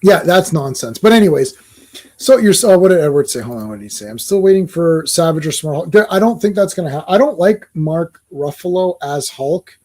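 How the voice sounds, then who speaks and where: A man co-hosting a casual podcast, talking in an animated, rambling way with many restarts. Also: a little high, a little fast. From Croatian family, born locally. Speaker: podcast co-host. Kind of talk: casual chat.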